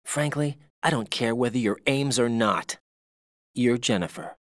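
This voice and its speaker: A man's voice: mid-range voice, a little slow, no echo, studio quality, close to an everyday voice. An actor playing an earnest, questioning young male rookie agent in a video game. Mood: probing.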